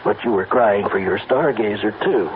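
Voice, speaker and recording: An actor playing a grave, paternal country judge, measured and admonishing, in an old-time radio drama. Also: male voice, on the low side, natural voice. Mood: stern. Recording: tinny, noisy old radio recording.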